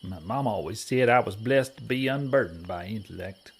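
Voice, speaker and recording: Husky cowboy prospector, lively swinging pitch, rural Western American drawl, slow-witted, good-natured, folksy audio comedy. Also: male voice. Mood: folksy, musing.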